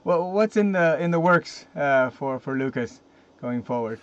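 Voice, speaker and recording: A man's voice: a little high, lively intonation, a little slow. A man hosting an interview, talking casually with many fillers and restarts. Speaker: interview host. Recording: dull lo-fi line with faint noise.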